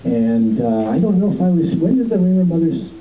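A man's voice: a little high, fairly quick, a little hiss or hum. A middle-aged man giving a casual, rambling welcome outdoors on a city street, speaking into a handheld microphone. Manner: relaxed, rambling, many ums and restarts. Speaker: festival producer welcoming a walking tour. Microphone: handheld microphone heard from a distance. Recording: roomy, distant, dull phone-camera sound.

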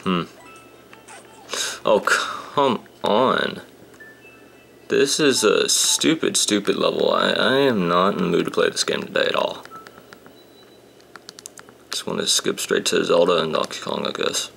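Low creaky young guy doing a let's play, kinda annoyed, voice a bit rough. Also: big pitch swings, a little slow, long pauses, a bit bright. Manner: grumbling, sarcastic about the game. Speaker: gameplay commentator. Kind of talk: casual let's-play commentary. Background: game music.

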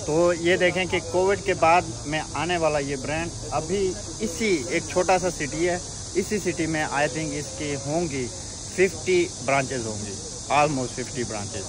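A man's voice: on the high side, measured pace. A man chatting casually and joking with his friend and viewers while vlogging outdoors in a city. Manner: joking, chatty, addresses viewers as guys and bro. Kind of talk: casual travel vlog chat. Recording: noisy street ambience.

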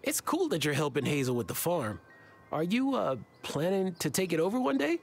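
A man's voice: on the high side, big pitch swings, moderate pace, gravelly, husky, faint music behind, exaggerated voice. An actor playing an easygoing, encouraging young townsman in a video game.

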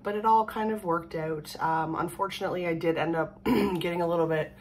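A woman in her thirties from Canada, hoarse from a cold, chatting casually and rambling to the camera at home. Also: lowish voice, steady tone, brisk, a little hiss or hum. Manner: rambling, chatty, laughs often. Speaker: vlogger. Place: small room at home, indoors. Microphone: camera in front at desk distance.

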